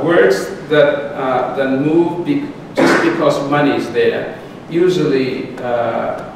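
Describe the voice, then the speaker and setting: Man in an echoey hall. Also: medium pitch. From Solomon Islands. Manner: measured, with frequent pauses and restarts. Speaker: lecturer. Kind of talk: answering audience questions. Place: large lecture theatre. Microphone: distant room mic. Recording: echoey hall from far off, background noise.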